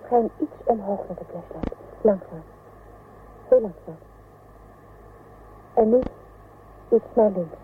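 A woman's voice: medium pitch, takes it slowly, pauses often, breathy edge. A woman playing a fearful, watchful space explorer in a science fiction radio play, giving tense, urgent warnings. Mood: frightened. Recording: phone-like audio with faint noise.

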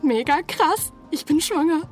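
An actress playing a reckless, foul-mouthed seventeen-year-old daughter in a radio drama, with crude, taunting, slangy delivery. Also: female voice, high voice, lively intonation, measured pace, soft background music. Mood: defiant.

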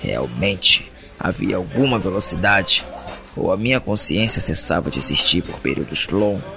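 A man with a Brazilian accent playing an introspective, uneasy captive who narrates an audio drama in a brooding, deliberate way. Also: sits low, lively intonation, creaky, pushed and bright, faint music behind, heavily acted. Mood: troubled questioning. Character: captive man, introspective, uneasy, reasoning through his confinement.

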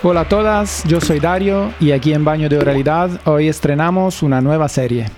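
A man with a fairly high voice hosts a radio programme. He speaks in a conversational presenter's style, introducing things and putting questions to a guest. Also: fairly quick, music in the background. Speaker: radio host and interviewer.

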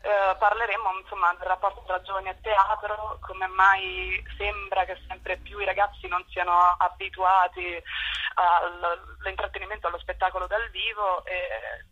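A woman's voice: mid-range voice, little pitch movement, normal speed, bright, forceful tone. A young woman speaking as an interview guest over a telephone connection, explaining things informally. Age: young adult. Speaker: youth group spokesperson, interview guest. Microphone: phone call. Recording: muffled, rough telephone line.

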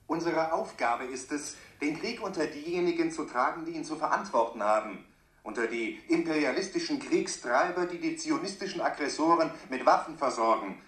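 A man's voice: fairly high pitch, animated, normal speed, a bit bright. An actor playing a fervent young male militant giving a declamatory speech on tape in a radio drama. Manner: declamatory, ideological. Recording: echoey playback of a tape.

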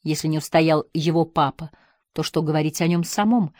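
A woman's voice: sits low, normal speed, a little mellow, dry recording, clean studio sound, voice pushed down, close to an everyday voice. A woman narrator voicing a passionate, nostalgic former schoolteacher who swings between wistfulness and scolding. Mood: wistful. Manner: impassioned, by turns wistful and scolding. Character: passionate middle-aged woman, former teacher, fond and scornful.

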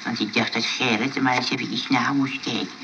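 A man's voice: medium pitch, fairly even intonation, a little fast, natural voice. An actor playing a stubborn, chatty old grandfather in a comic radio play, rambling, hesitant and repeating himself. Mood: grumbling, stubborn. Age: older. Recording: dull, noisy old radio recording.

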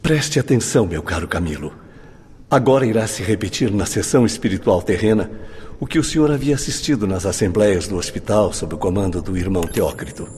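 A man acting in a radio drama as a calm, authoritative spirit guide with a formal, measured delivery. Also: on the low side, lightly acted. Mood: calm, instructive. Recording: long hall reverb, background noise.

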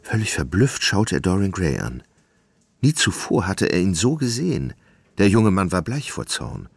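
A man's voice: deep voice, big pitch swings, normal speed, vocal fry, husky, dry recording. A man reading scripted literary narration as the narrator of a radio drama.

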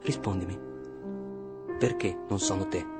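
A man's voice: low-pitched, unhurried. An actor playing an anxious, insecure boy in an intense, rhythmic and repetitive theatrical monologue. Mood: fearful, subdued. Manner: intense, repetitive, incantatory delivery. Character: anxious, insecure Iranian-Italian boy, self-pitying and obsessive. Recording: loud music behind the voice.

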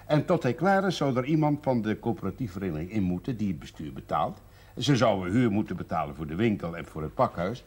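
An actor playing a lonely, blunt man in his seventies in a naturalistic television drama, with a husky voice. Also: fairly low pitch, a little mellow. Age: older.

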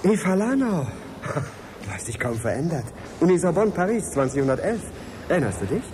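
A man's voice: fairly high pitch, big pitch swings, brisk, noisy background. A man acting in a radio drama as a persistent, dryly sceptical freelance science journalist with an articulate, probing way of asking. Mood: friendly. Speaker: actor.

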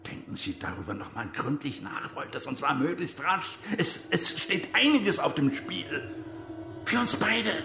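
A man's voice: a little high, expressive, measured pace, loud music behind the voice. An actor playing a stern, agitated older army major and father in a radio crime drama. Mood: urgent persuasion. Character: stern, agitated East German army major, father, recounting his past.

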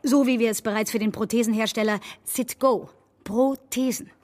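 An actress playing a pushy, self-important agency manager in a satirical radio drama, talking insistent corporate jargon. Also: female voice, on the high side, fairly even intonation, normal speed, darkish tone, natural voice. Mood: presenting.

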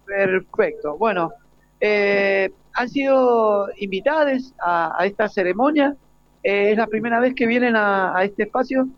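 A man's voice: sits high, unhurried, dry recording. An Argentine man hosting a live, informal radio panel interview with guests. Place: live outside broadcast at a school, dry sound. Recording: slightly muffled live radio broadcast.

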